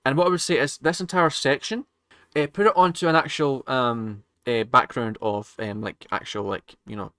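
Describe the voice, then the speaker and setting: A man hosts a casual reaction video in a bright, forceful voice. He talks haltingly, rambling and doubling back on his own phrases. The sound is dry, with hardly any room in it. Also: medium pitch, clean tone, no echo. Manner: halting, rambling, circles back to repeat himself. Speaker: reaction channel host.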